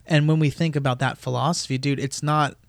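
A man's voice: on the high side, steady tone, vocal fry, dry recording. Casual man who keeps saying dude. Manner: casual, says "dude" and "you know" often, rapid bursts. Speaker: podcast co-host.

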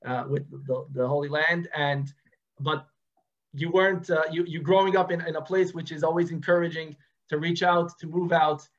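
A man's voice: fairly high pitch, fairly even intonation, normal speed, dull audio. A man hosting a podcast, asking questions in an upbeat, encouraging way. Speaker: podcast host. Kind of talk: podcast interview.

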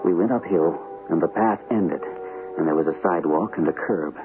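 A man's voice: low voice, normal speed, music in the background, natural voice. An actor playing an earnest, unsteady shell-shocked army captain in an old-time radio drama, hesitant and repeating his words nervously. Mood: tense. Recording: tinny, noisy old radio broadcast recording.

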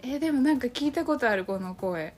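A woman's voice: sits high, expressive, brisk, pitched above the performer's own voice. A woman playing a polite, bewildered property agent in an amateur radio drama, hesitant and questioning. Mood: puzzled.

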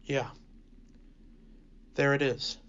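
A man's voice: mid-range voice, fairly even intonation, very slow, fairly bright tone, smooth, lo-fi sound. A man playing a frightened, superstitious old country fellow in a horror radio drama, speaking in a folksy, hesitant way. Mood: fearful, awed.

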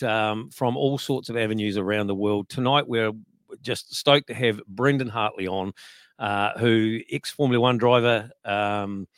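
A man co-hosting a podcast, speaking enthusiastically but haltingly with many pauses. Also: lowish voice, dry recording, studio quality. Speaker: podcast co-host. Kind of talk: casual podcast chat.

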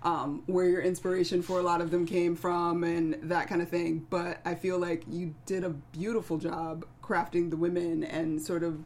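A woman with a slightly low voice speaks in a casual panel discussion. She is from the American South. She thinks aloud, pausing and restarting as she looks for the right words. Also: little pitch movement, darkish tone. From American South (Atlanta). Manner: reflective, searching for words. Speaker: actor at a script table read.

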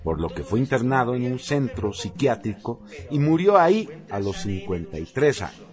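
A man's voice: mid-range voice, big pitch swings, moderate pace, music in the background. A man presenting a scripted radio newscast as one of its anchors.

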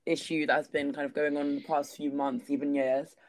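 A man with a slightly hoarse, worn voice, talking casually as a guest with many fillers and little laughs. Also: fairly high pitch, little pitch movement, fairly quick, dry recording, low-quality recording. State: losing his voice. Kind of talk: casual discussion.

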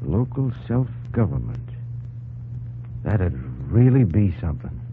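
An actor playing a loyal, plainspoken frontier farmer in an old-time radio drama, with folksy rustic phrasing. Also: male voice, fairly low pitch, big pitch swings, slow, heavily acted. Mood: thoughtful. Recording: muffled old radio recording, faint noise.

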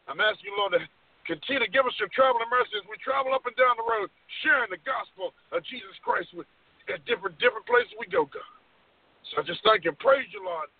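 A man's voice: high voice, animated, fast talker, no echo. A man who leads a fervent spoken prayer as a caller, repeating "God" and "Lord" after every phrase. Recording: tinny phone line, distant from the mic.

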